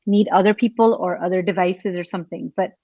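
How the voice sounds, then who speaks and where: Dry, muffled, lowish-voiced woman guest. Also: fairly even intonation, fairly quick, no room sound. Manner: tentative, frequent "like". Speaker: podcast guest. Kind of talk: casual, reflective panel chat.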